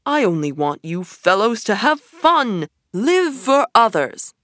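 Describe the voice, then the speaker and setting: A woman voicing a boastful, excitable male toad in a storybook reading, gushing and showy. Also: medium pitch, slow, no echo, studio quality, voice pushed up. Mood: excited boasting.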